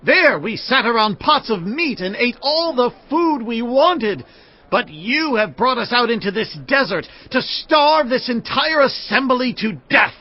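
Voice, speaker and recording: Casting note: a bitter desert Israelite, shrill and high, wildly swinging pitch, slightly dull recording. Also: male voice, lively intonation, a little slow, heavily acted. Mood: bitter complaint. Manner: shrill, complaining outcry. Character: complaining Israelite crowd, hungry and bitter.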